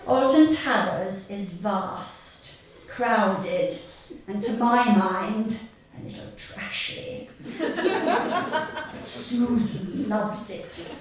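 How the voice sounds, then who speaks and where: A woman reading her comic short story live to an audience, in a deadpan, measured way with deliberate pauses. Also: mid-range voice. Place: theatre stage at a live reading event. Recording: echoey hall, distant mic, audience noise. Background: audience laughter.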